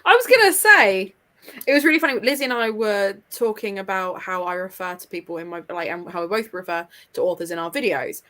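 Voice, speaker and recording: A woman's voice: medium pitch, expressive, brisk, sharp tone. A woman talking casually as a panellist in a book discussion. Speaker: book club readalong panellist.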